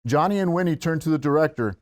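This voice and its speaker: A man's voice: a little high, animated, fast talker. Man narrating screenplay stage directions. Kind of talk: scripted narration at a screenplay table read.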